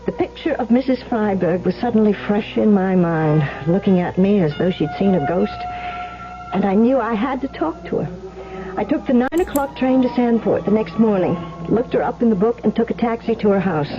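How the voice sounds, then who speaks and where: A woman's voice: mid-range voice, animated, moderate pace, music in the background, natural voice. A woman playing an earnest, conflicted young woman in love in an old-time radio drama, emotional and hesitant, on a muffled, noisy recording. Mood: reflective.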